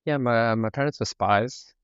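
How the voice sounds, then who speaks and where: Man, French and South African accent, casual guest, laughs easily, smooth voice, dull lo-fi sound. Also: mid-range voice, normal speed, no echo. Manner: easygoing, laughs often, many "like" fillers. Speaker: podcast guest. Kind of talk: casual conversational interview.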